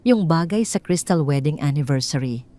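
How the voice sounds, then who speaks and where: A woman voicing a calm betrayed wife who feigns innocence and tenderness in an audio story. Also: low-pitched, moderate pace. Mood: feigned innocence.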